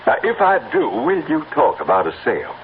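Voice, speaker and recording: An actor playing a cocky, persistent, smooth-talking horseman in an old-time radio drama. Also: on the high side, huge pitch swings, a little fast. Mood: cocky, wheedling. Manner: smooth-talking, insistent, self-assured. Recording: tinny band-limited old radio recording with hiss.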